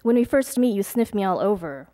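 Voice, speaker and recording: A young adult woman reads her own poems to a live audience, pacing the lines with measured, deliberate care. Between poems she slips into loose, hesitant asides. Her pitch sits in an ordinary middle range. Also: lively intonation, dark tone. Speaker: poet. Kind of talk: poetry reading with casual asides to an audience. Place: live reading event before an audience.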